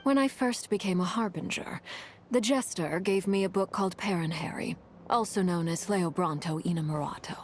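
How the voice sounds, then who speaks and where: An actress as a low-voiced, composed woman Harbinger. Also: moderate pace, slight background noise, lightly acted. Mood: measured, explanatory. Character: composed, knowing female Harbinger recounting lore. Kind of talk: scripted game dialogue.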